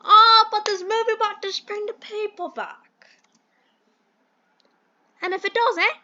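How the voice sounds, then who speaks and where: A woman voicing a pompous, cheerful old-fashioned British gentleman boss in a comic audio drama, with theatrical, quaint exclamations. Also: extremely high pitch, steady tone, a little slow, highs cut off, full character voice. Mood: jolly, pompous.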